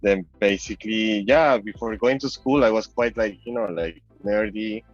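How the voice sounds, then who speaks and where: A man's voice: fairly low pitch, normal speed, no room sound. A man from Venezuela with a Venezuelan Spanish accent, chatting casually and rambling as an interview guest, peppering his talk with filler phrases. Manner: rambling, heavy use of "like" and "you know". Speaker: interview guest, crypto app designer. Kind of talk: casual chat. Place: online call. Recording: dull lo-fi call audio, music behind.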